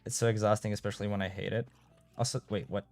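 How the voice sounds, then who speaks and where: A man's voice: lowish voice, little pitch movement, fast talker, gravelly, darkish tone, dry recording, soft background music. A young man from Romania with a Romanian accent, chatting casually with his live-stream audience while playing and tripping over his words now and then. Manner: chatty, reads chat aloud, trips over his words. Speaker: game streamer.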